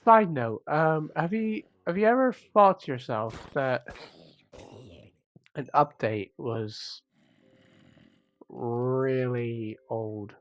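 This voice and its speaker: A man with a cold, sounding croaky and sniffly, rambling casually as he comments on his own gameplay, with self-mocking asides. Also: medium pitch, very slow, pauses often. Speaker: gaming channel host.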